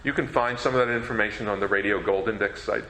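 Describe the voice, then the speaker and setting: Man, informal panel presenter, fairly low pitch, roomy echo, faint noise, answering questions. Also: fairly even intonation, fast talker, pushed and bright. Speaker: panel presenter, radio program collector. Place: echoey convention meeting room.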